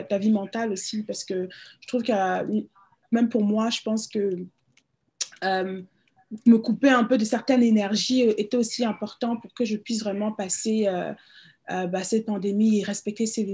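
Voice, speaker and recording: A woman is hosting a community radio forum. Her voice is mellow and a little husky. She speaks hesitantly, repeating words as she searches for what to say. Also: medium pitch. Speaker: forum host. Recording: livestreamed community radio talk.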